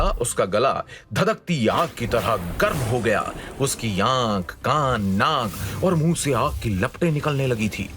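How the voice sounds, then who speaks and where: Male fantasy story narrator over soft music. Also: mid-range voice. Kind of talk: dramatic scripted fantasy narration. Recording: music behind the voice. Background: background music.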